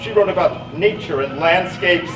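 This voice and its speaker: A man playing a chatty, eager psychiatric patient in a stage play, pedantic and rambling, excitable and prone to repeating himself. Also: high voice, normal speed, natural voice. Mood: excitable, proud. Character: chatty, eager know-it-all male psychiatric patient named Ethan. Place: theatre stage, recorded from a distance. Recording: echoey stage recording, distant mic, music.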